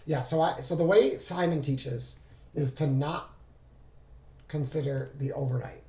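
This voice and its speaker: A man with a raspy, hoarse voice recovering from laryngitis, giving an informal, halting screen-share tutorial as a trading instructor. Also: a little high, mellow. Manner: halting, thinking aloud. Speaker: trading instructor reviewing charts. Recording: roomy, echoing voice recording.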